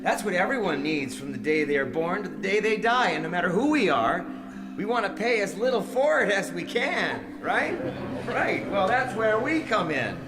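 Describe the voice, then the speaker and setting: High-pitched, folksy born-again American businessman. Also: sing-song, normal speed. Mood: fervent preaching. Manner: folksy, preacherly, rhetorical questions. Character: zealous born-again middle-aged businessman and father, folksy, self-assured. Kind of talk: audio drama acting, preaching speech and confessional narration. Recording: music playing behind the voice.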